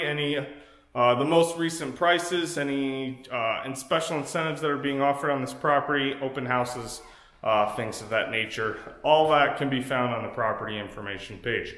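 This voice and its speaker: An American man in his thirties giving an unscripted property walkthrough to camera as a real estate agent, halting and repeating phrases as he explains. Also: on the high side, a bit bright. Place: empty rooms of a large house, indoors. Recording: echoey bare rooms, music behind.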